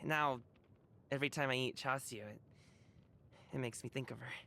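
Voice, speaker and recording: A man's voice: mid-range voice, fairly even intonation, normal speed, creaky, bright, forceful tone. A man voicing a playful, self-mocking young man in a video game, with a wry storytelling manner. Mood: wry, nostalgic. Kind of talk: scripted game character monologue.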